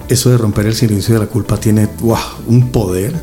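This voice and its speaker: A man hosts a radio interview in a warm, mellow voice. He talks with enthusiasm, throws in exclamations and pauses on hesitant fillers, while soft music plays faintly underneath. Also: fairly low pitch, fairly even intonation. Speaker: radio host.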